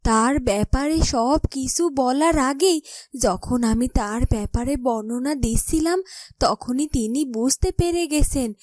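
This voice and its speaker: Woman as an earnest, high-voiced loyal maidservant. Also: measured pace, a little mellow, no room sound. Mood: pleased, earnest. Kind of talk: Bengali fairytale cartoon dialogue.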